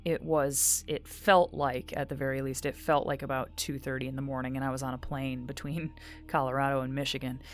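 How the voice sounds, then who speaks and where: A woman voice actor giving a casual, reflective personal testimonial in a halting way. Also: low-pitched.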